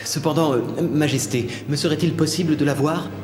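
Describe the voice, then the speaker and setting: A man's voice: fairly high pitch, a little fast, a little mellow, reverberant, big-room sound, faint music behind, close to an everyday voice. A man playing a lovestruck young prince in a dubbed cartoon fairy tale, speaking formally and deferentially, with ardent sincerity. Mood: polite.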